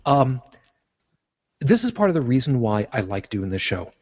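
A man's voice: mid-range voice, very animated, normal speed, a little mellow, husky, muffled recording. A man from Brooklyn co-hosting a podcast in casual chat, rambling and correcting himself as he jokes. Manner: rambling, self-correcting, jokey. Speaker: podcast co-host.

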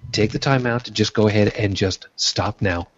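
Brief: lower-pitched male host from New York, husky tone, blunt and profane, muffled web-call audio. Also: moderate pace. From New York, United States. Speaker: podcast host. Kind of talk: casual roundtable talk. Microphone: video call. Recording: dull lo-fi sound.

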